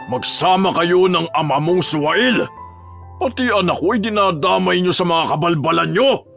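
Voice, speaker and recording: A man's voice: high voice, creaky, fairly bright tone, full character voice. An actor playing a cruel, greedy old father, harsh and threatening, in an audio drama.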